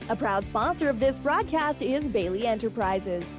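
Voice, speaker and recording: A woman's voice: a little high, expressive, moderate pace, music in the background. A woman announcer reading scripted radio ads in a polished promo style.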